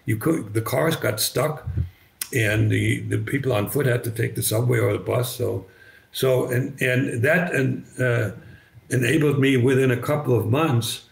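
An older man with a slight German accent, German native, telling his story as a podcast guest over a video call, halting with many restarts. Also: medium pitch, gravelly. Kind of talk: conversational storytelling. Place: bright living room, indoors. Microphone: video call webcam.

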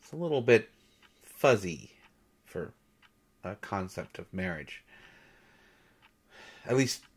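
Audio drama role, male actor: shy male god posing as substitute teacher, lowish voice, very slow halting delivery, gentle storyteller. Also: big pitch swings, breathy edge, exaggerated voice. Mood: thoughtful, playful. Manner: hesitant, nervous, halting with soft chuckles. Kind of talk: intimate audio roleplay, storytelling lecture.

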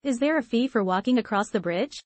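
A woman's voice: fairly high pitch, expressive, fairly quick, no room sound. A woman reading the questioning part of a scripted language-lesson dialogue.

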